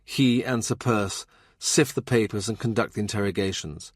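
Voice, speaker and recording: A man's voice: on the low side, moderate pace, dry recording, clean studio sound. A man reading a historical novel as scripted literary audiobook narration.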